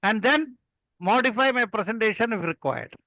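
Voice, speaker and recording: Older male, South Indian accent, Malayalam native; bright, high voice, didactic conversational storyteller, dry sound. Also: animated, normal speed, dry recording, rough recording. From Kerala, India. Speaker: guest, experienced club speaker.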